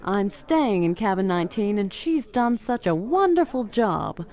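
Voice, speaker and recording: A woman's voice: medium pitch, sing-song, measured pace, a little mellow. Actress as a gracious, sweetly polite lady passenger.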